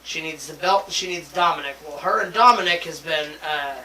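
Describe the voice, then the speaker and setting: A man's voice: a little high, moderate pace, sharp tone, recorded from a distance, a little hiss or hum, rough recording. A man co-hosting a wrestling talk show, chatting casually and weighing up match predictions. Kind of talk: casual chat and sports analysis.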